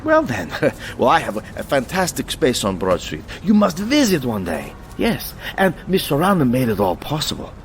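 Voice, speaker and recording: A man's voice: medium pitch, huge pitch swings, normal speed, few pauses, slight background noise. A man playing a wealthy, courtly client in an audio drama, effusive and pleased with himself, savoring his words. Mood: boastful, effusive. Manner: courtly, boastful, chuckling hesitations, savoring words. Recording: music under the voice.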